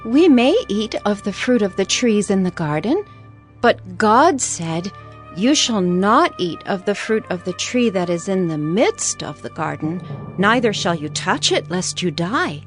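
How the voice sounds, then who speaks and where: Brief: actress as the young first woman, earnest, lively pitch, soft music behind. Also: measured pace, natural voice. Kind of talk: audio drama acting.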